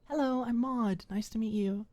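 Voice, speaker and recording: A woman's voice: on the high side, moderate pace, dark tone, pitched above the performer's own voice, close to an everyday voice. A woman voicing a sweet, bubbly young woman in an audiobook. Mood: friendly. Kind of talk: audiobook character acting.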